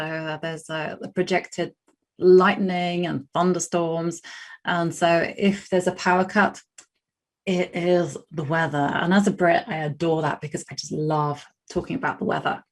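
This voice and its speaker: A woman's voice: on the low side, steady tone, normal speed. Chatty British woman streaming chess. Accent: British English. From Britain. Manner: chatty, rambling, many fillers and restarts. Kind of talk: casual livestream chat while playing chess.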